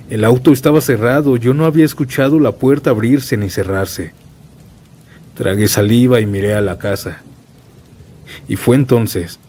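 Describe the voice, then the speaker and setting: A man narrating scripted first-person horror stories in a solemn, dramatic way, as a storyteller. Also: fairly low pitch. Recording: steady rain and thunder noise bed. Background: rainstorm with thunder.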